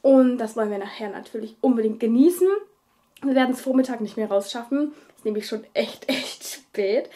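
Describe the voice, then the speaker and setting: High, mellow young woman vlogging. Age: 20s. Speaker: family vlogger and mother. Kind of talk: casual vlog chat. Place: children's bedroom at home.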